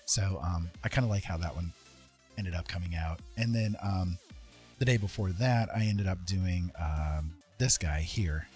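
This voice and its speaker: A man's voice: low voice, unhurried, darkish tone, faint music behind. A man chatting casually and hesitantly as he livestreams his drawing, rambling and thinking aloud. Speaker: livestream host and artist.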